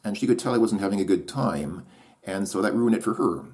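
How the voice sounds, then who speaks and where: A man with a deep voice thinking aloud in a solo monologue. He hesitates, restarts his sentences and questions himself as he goes. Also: fairly quick, a little mellow.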